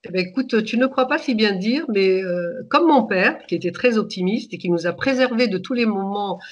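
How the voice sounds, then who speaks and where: A woman in her sixties from Egypt, whose first language is Arabic, calmly recalling her childhood as a radio guest, pausing and restarting often. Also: medium pitch. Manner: hesitant, many pauses and restarts. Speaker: guest, author. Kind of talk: reflective personal storytelling.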